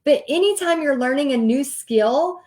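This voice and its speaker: A middle-aged woman with a Texan accent, talking straight to her viewers like a coach on a casual livestream. Her voice sits high, and she chats along directly and laughs often. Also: expressive, normal speed, a little mellow. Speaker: host teaching paint party business.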